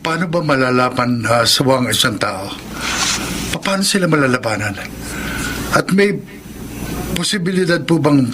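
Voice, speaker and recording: A man's voice: mid-range voice, animated, normal speed, long pauses, noisy background, close to an everyday voice. An actor playing an anxious, hesitant young man in a radio horror drama, stammering as he speaks. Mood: uneasy, curious. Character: anxious young man told he is a cursed monster. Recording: dramatic music under the voice.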